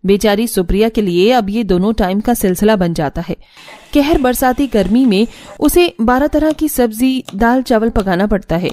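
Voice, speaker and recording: A woman's voice: on the high side, animated, normal speed, dark tone. A woman reading scripted narration for a cartoon family story.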